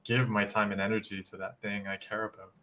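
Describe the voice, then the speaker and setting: Bright-voiced man explaining thoughtfully. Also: lowish voice, little pitch movement, a little fast. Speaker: guest. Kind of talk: live-stream Q&A chat.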